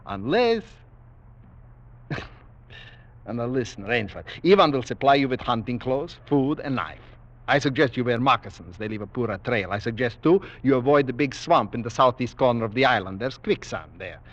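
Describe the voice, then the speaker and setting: A man's voice: medium pitch, lively intonation, normal speed, natural voice. Man acting a suave, sinister aristocratic general, old radio. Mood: relishing, courtly. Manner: courtly, menacing politeness with soft chuckles. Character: suave, sinister aristocratic general who hunts men for sport. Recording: dull vintage 1940s radio recording.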